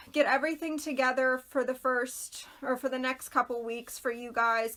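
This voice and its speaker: A woman's voice: on the high side, sharp tone. A woman hosting a casual craft livestream, chatting loosely and greeting viewers by name, her voice a little worn and throaty. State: losing her voice, clearing throat. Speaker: craft video host.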